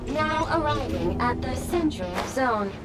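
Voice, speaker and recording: A woman's voice: high voice, animated, measured pace. An actress voicing a formal, detached female robot guide in a game, speaking in clipped announcements. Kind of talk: video game voice acting. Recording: loud music underneath.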